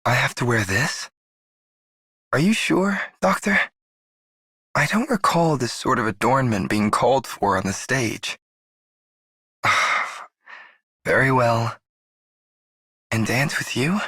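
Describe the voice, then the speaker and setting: Game role: reserved gentleman performer, husky, unhurried, hesitant and polite. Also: medium pitch, animated, no room sound, clean studio sound, full character voice. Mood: hesitant, bashful. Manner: formal, hesitant, measured. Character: reserved, courteous, slightly bashful male stage performer. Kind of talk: video game character voice acting.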